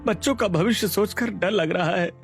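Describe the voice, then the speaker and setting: A man's voice: high-pitched, moderate pace, vocal fry, soft background music. A man playing a worried, struggling husband, anxious and downcast, in a cartoon story. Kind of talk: Hindi cartoon story acting.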